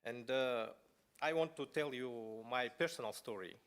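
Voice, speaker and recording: A man from Buryatia speaking English as an at a conference, telling his personal story in a halting way with many pauses and fillers. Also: medium pitch, clean tone. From Buryatia, Russia. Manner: halting, many fillers and restarts, non-native phrasing. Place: parliament conference hall.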